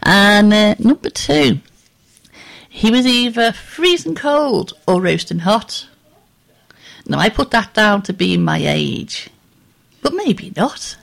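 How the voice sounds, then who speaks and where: A woman's voice: mid-range voice, lively intonation, slow pace, vocal fry, long pauses. A middle-aged woman presenting on the radio in a chatty, rambling way, with a scratchy voice and plenty of ums.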